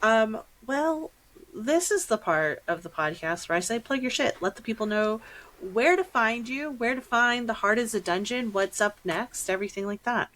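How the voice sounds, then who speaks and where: A woman's voice: fairly high pitch, moderate pace, a bit bright, poor audio. A millennial woman hosting a podcast, chatting in an informal, encouraging way with her guest. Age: millennial, 30s or so. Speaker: podcast host. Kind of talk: casual podcast interview chat.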